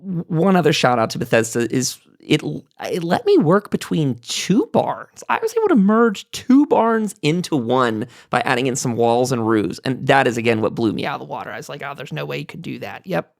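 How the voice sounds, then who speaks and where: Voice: mid-range voice, very animated, normal speed, vocal fry. A podcast host leading a casual, enthusiastic roundtable about gaming, talking in an effusive, emphatic, rambling way. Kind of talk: casual enthusiastic panel discussion about a video game.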